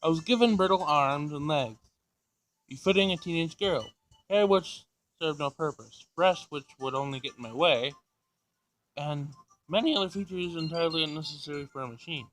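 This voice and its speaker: A man's voice: on the high side, moderate pace, a bit bright, low-quality recording. A man reading a visual novel aloud for a gaming video, stumbling and repeating words, with asides of his own. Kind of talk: let's play read-aloud with asides. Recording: music behind the voice. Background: music.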